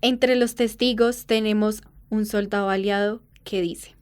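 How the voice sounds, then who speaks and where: Clear-voiced female radio news reporter, acted by a woman. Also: medium pitch, fairly even intonation, a little mellow, close to an everyday voice. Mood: solemn, formal. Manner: formal, measured announcer delivery. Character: solemn female radio news reporter announcing war's end from Paris. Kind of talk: scripted radio news narration.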